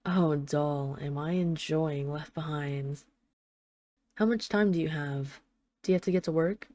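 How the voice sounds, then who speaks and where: Actress as female mafia boss in hiding, lovesick and apologetic. Lowish, soft-edged dark tone, earnest halting delivery, intimate audio roleplay. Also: no room sound. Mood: longing, fond. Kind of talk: intimate audio roleplay, one side of a phone call.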